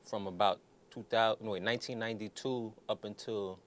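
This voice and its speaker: This is a man from New York City with a fairly low voice. He talks as a guest in an interview, giving earnest answers in a conversational way. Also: fairly even intonation, fairly quick, a bit bright. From New York City, born in the Bronx, raised in Queens. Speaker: interview guest, nonprofit founder.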